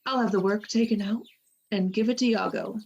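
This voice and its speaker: An actress playing a dutiful, wry wife, musing deliberately in Shakespearean verse for a radio play. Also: female voice, mid-range voice, steady tone, vocal fry, a little mellow, husky, lo-fi sound.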